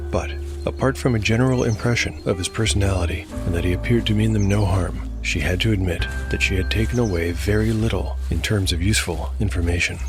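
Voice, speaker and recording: A man narrating a scripted dark fantasy audio drama in a measured, dramatic storytelling manner. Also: low-pitched. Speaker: narrator and host. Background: music.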